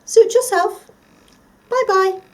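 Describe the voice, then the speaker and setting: A woman's voice: very high-pitched, takes it slowly, voice pushed up. A woman voicing a sly male fox in a storybook, coaxing and falsely innocent as he schemes. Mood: airy.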